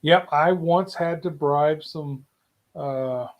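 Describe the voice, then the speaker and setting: A man's voice: on the high side, slow pace. A man chatting casually as a livestream co-host and relaying comments from viewers.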